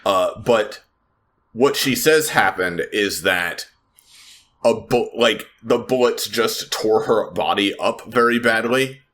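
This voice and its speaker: A man narrates his roleplay character in a very low voice. He is slow and hesitant, improvising a recap, and the tone has a bright, crisp edge. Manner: hesitant, measured. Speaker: tabletop game player.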